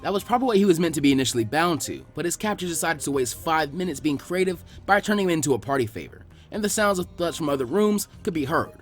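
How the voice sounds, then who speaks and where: Animated, higher-pitched male story narrator. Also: fast talker, hardly any pauses, faint music behind. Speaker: fan fiction narrator. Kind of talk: expressive scripted story narration.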